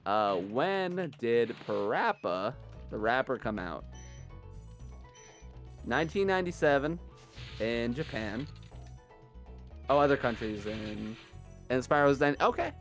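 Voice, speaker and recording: A man commentating a live gaming marathon in a casual, chatty, self-deprecating way, his voice hoarse from days of yelling. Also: mid-range voice, lively intonation, slow pace, pauses often, soft background music.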